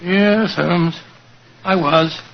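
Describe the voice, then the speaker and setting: An actor playing a genial, nostalgic aging doctor who tells old adventures in a hesitant, avuncular way, in 1940s radio drama style. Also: male voice, sits high, fairly even intonation, drawn-out words, gravelly, lo-fi sound, full character voice. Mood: friendly, earnest. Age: older. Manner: avuncular, hesitant, chuckling storyteller.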